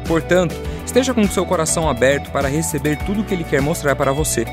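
An announcer reading a brisk scripted church announcement. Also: medium pitch, lively intonation. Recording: voice-over with music underneath.

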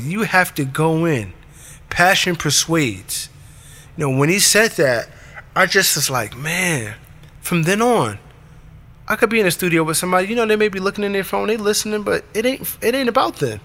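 Raspy dude hyping up creatives, bright edgy voice, preachy and emphatic. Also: male voice, high voice, animated, normal speed. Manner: preachy, emphatic, punchy short commands. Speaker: podcast host. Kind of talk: motivational monologue.

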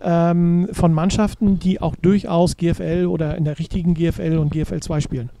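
A man's voice: on the high side, level tone, a little fast, gravelly, mellow, slight background noise. A man hosting a radio interview show, upbeat as he reads sponsor copy and prompts his guests with short questions.

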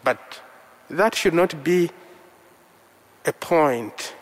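A man from Uganda whose first language is Luganda, preaching a sermon in slow, measured short phrases. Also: a little high, expressive. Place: church. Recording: long reverb of a large hall.

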